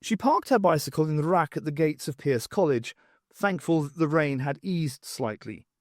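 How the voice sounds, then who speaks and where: Animated male audiobook narrator, dry sound. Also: fairly high pitch, moderate pace, no room sound. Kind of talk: scripted fiction narration.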